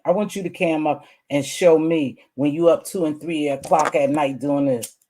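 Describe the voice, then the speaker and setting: A woman with a hoarse voice, ranting at her online viewers in a casual, scolding way that swings between loud outbursts and low mutters. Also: normal speed. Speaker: online streamer. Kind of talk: heated casual rant to viewers.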